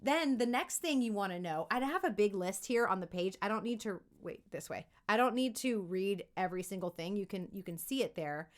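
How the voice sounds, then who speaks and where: A woman's voice: mid-range voice, brisk, few pauses. A woman giving an informal lesson as a voice acting coach for kids, encouraging and emphatic as she demonstrates. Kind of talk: informal instructional video aimed at kids.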